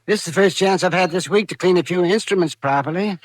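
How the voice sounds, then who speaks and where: An actor playing a wry frontier doctor with a haunted past, in a theatrical, wordy 1950s radio drama style. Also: male voice, sits high, fast. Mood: wry, animated. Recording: vintage radio recording.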